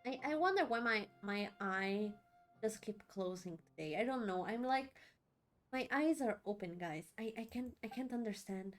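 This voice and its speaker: A woman's voice: mid-range voice, animated, normal speed. A woman streamer giving casual let's-play commentary, chatty and reacting aloud in short asides. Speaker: streamer playing a game. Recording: game music behind.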